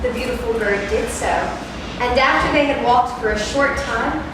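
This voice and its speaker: A high-voiced woman reads a fairy tale aloud in an expressive storyteller's style. She sounds far from the microphone in a very reverberant space, with event noise behind her. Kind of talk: scripted fairy-tale narration, read aloud live. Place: reverberant venue at a live event. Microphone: distant microphone. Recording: echoey, noisy room from far off.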